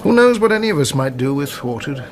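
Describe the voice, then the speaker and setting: An actor playing an assured older police inspector in a period radio drama, polite and condescending. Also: male voice, on the high side, very animated, a little fast, crowd noise. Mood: smooth, condescending.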